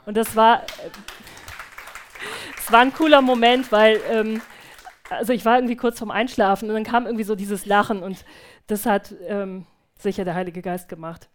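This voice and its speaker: Earnest woman giving a church testimony. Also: mid-range voice. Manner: earnest and reflective, with hesitant restarts. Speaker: returning missionary speaking in a church service. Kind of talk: church testimony followed by spoken prayer. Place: church service.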